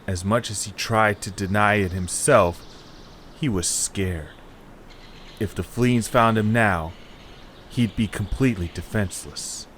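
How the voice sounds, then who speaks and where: A man narrating an audio drama in a measured, steady storytelling manner. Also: lowish voice, slight background noise.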